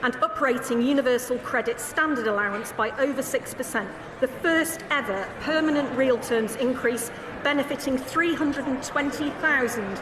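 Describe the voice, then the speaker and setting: A woman's voice: high voice, moderate pace, noisy background. A woman serving as secretary of state, confidently listing figures in a formal parliamentary answer in a debating chamber. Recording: echoey chamber with murmuring crowd.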